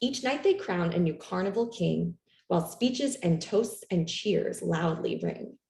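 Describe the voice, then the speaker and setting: A lower-voiced woman reads a children's story aloud with a mellow tone. Her delivery is expressive and animated, made for young listeners, with some creak in the voice. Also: a little slow. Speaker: storytime reader. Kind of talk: expressive read-aloud of a children's picture book. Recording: roomy, echoey room sound.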